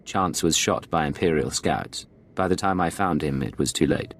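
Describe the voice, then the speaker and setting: An actor playing a hard-edged undercover agent in a video game, terse and threatening. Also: male voice, a bass voice, normal speed, slight background noise. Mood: grim. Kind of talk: video game cutscene acting.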